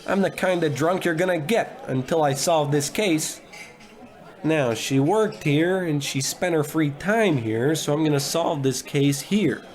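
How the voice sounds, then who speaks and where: A man's voice: a little high, unhurried, lightly acted. A man playing a hapless, hard-drinking, cocky private detective in a comedic old-time radio noir drama, given to wisecracks and melodramatic monologue. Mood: stubborn, brash. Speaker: narrator and lead actor.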